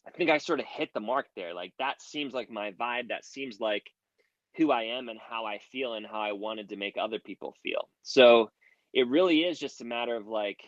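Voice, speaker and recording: A man's voice: mid-range voice, normal speed, no echo, lo-fi sound. A man giving advice in a casual audio room chat, earnest and rambling, with many "like" fillers. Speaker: guest creator giving advice.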